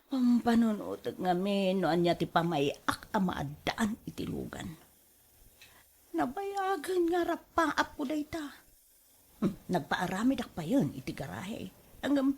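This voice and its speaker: A woman's voice: high-pitched, a little mellow. A woman with an Ilocano accent playing a covetous, scheming wife in a radio drama, exclaiming, wheedling and sighing. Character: covetous, scheming wife craving a car of her own.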